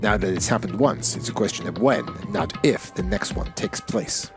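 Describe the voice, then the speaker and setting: A man's voice: lowish voice, lively intonation, normal speed, over music, pitched below the performer's own voice, natural voice. A man voicing a cold, aloof, cynical teenage boy in a visual novel game. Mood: cold cynicism.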